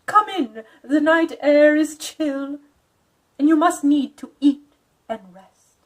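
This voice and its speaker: A woman voicing a tall, courtly old male vampire count in a slow, grand manner, with an absurd accent that keeps changing. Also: sits high, voice pushed up. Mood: courtly welcome.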